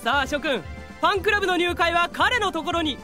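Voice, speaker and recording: Haughty, high-voiced rich schoolboy. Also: female voice, lively intonation, a little fast, sharp tone, faint music behind, heavily acted. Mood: haughty, showy. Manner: haughty, theatrical, grandiose. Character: pompous, boastful rich male high school student. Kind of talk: Japanese audio drama acting.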